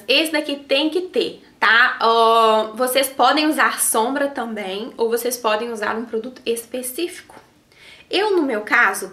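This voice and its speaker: High-voiced Brazilian woman with a nasal cold. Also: fairly bright tone. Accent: Brazilian Portuguese. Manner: chatty, affectionate, ends phrases with tag questions. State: has a cold, slightly nasal voice. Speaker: makeup artist and beauty vlogger. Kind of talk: beauty tutorial to camera. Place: small indoor studio in front of a logo backdrop. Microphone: camera framing head and shoulders. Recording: roomy echo.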